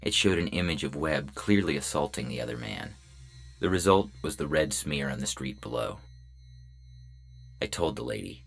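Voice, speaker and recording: A man voicing a polite, officious robot lawman with a deadpan, clipped delivery in a science fiction audio story. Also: low voice, gravelly. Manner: deadpan, clipped, matter-of-fact. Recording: music bed under the voice.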